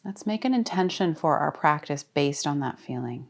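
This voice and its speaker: A woman leading a guided relaxation as a yoga teacher, speaking calmly and soothingly with deliberate pauses. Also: low voice. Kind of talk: guided meditation.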